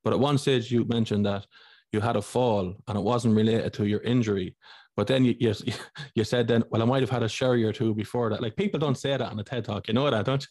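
A man's voice: on the low side, fairly even intonation, a little fast, darkish tone, no room sound, clean studio sound. A man hosting a podcast, chatting informally with rambling, stumbling restarts. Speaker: podcast host. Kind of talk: casual interview chat.

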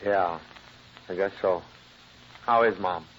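A man's voice: low-pitched, slow pace. A man playing a sheepish, flustered middle-aged husband and father with hesitant delivery in an old radio comedy. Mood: glum. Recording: dull, noisy old radio recording.

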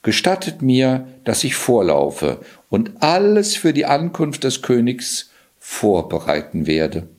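A man's voice: mid-range voice, wildly swooping pitch, darkish tone, voice pushed up. A man voicing a clever, brave male cat in boots who talks slyly and theatrically in a storybook. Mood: persuasive. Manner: quick-witted, theatrical, sly.

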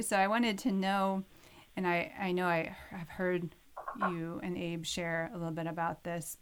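A woman's voice: lowish voice, clear, resonant tone. A woman hosting a podcast, delivering narration and interview questions.

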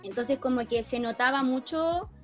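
A woman with a Chilean accent in a casual discussion, explaining things in an animated, slangy, informal way. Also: sits high, normal speed, faint music behind. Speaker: teacher.

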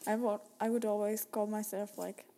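A woman's voice: mid-range voice, level tone, normal speed, warm tone, a little hiss or hum. A young Czech woman with a Czech accent, hosting a solo podcast and talking in a rambling way, full of fillers and restarts. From Czech Republic. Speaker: podcast host.

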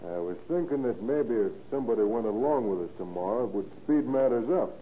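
An actor playing a smooth-talking criminal accomplice in an old radio crime drama. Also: male voice, mid-range voice, animated, a little fast, close to an everyday voice. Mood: casually probing. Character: crook's smooth-talking accomplice posing as engineer. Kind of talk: 1940s radio acting. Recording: dull old radio, faint noise.

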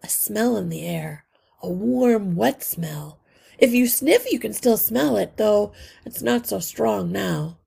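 A woman voicing a thoughtful, sickly young mouse boy in a children's storybook, earnest and calm. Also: medium pitch, vocal fry, husky, pitched above the performer's own voice, full character voice. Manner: earnest, measured explaining. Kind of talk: children's storybook read-aloud.

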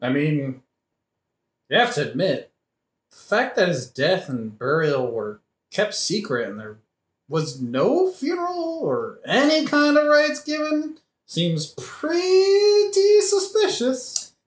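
A man as sullen, indignant young avenger, swooping pitch. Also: sing-song, slow pace, exaggerated voice. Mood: sardonic, suspicious. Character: angry, suspicious young son seeking revenge, Laertes. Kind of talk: modern-language satirical audio play acting. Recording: roomy echo.

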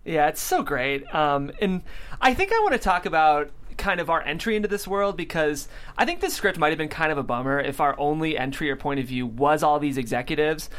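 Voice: medium pitch, expressive, brisk, fairly bright tone. An enthusiastic, chatty host conducting a live vodcast interview.

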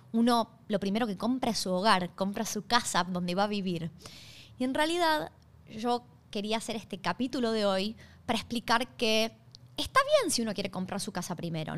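A woman with an Argentine accent hosting a podcast, talking conversationally in an explanatory way and checking in with listeners. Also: medium pitch. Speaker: podcast host.

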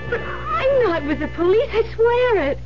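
A high-pitched, terrified young woman. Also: sing-song, moderate pace, over music. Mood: frightened protest. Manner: breathless, tearful pleading. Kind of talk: radio drama acting. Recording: lo-fi old radio broadcast, noisy.

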